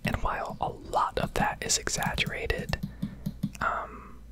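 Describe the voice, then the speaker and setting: A woman's voice: unhurried, sharp tone. American girl early 20s whispering an asmr ramble, casual, swears a bit. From Connecticut, United States. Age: early twenties. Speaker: ASMR gaming video creator.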